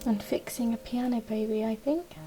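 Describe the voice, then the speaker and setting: A woman with a somewhat high-pitched voice co-hosts a casual craft chat. She is informal, appreciative and quick to exclaim. There is music in the background. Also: dark tone, poor audio. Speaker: craft channel co-host.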